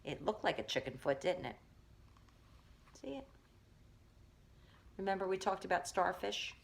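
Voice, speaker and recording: A woman's voice: fairly low pitch, sing-song, measured pace, gravelly, slight background noise. A woman teacher reading a picture book aloud, stopping to explain things to young children.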